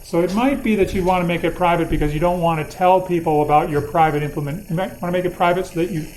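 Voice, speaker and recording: Male university lecturer, slightly high voice, informal and wry, questioning students over room noise. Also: steady tone, fast, darkish tone, low-quality recording. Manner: informal, thinking aloud, playfully wry.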